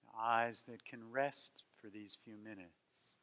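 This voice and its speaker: A man leading a guided meditation as a teacher, speaking gently in unhurried phrases with long pauses between them. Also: lowish voice, fairly even intonation.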